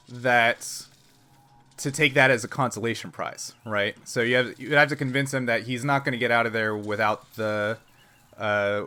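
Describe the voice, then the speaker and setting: Bright, clear-cut man running a tabletop game. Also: medium pitch. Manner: explanatory, checking understanding. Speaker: game master. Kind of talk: explaining rules and narrating a tabletop game.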